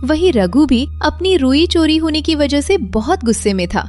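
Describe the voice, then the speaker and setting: A woman's voice: high-pitched, lively intonation, moderate pace, darkish tone, faint music behind. A woman narrating a scripted moral story as the storyteller.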